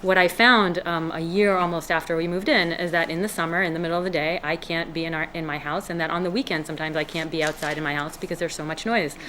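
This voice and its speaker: A woman's voice: fairly low pitch, fairly even intonation, quick pace, hardly any pauses, fairly bright tone, slight background noise, poor audio. A woman from Israel, a resident speaking at a town hearing, earnest and hesitant with many fillers and restarts. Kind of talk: public comment at a town hearing. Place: town meeting room.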